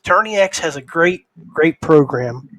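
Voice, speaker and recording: A man hosting a casual podcast conversation, speaking in a halting way and often repeating words. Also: a little high, expressive, fairly bright tone, no echo, rough recording. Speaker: podcast host.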